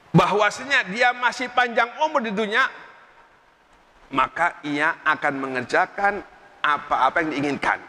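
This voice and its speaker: Bright-voiced male preacher in echoing hall. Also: on the high side. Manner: emphatic preaching that repeats phrases and quotes Arabic sayings. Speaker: Islamic preacher. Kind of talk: religious sermon. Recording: long reverb in a hall.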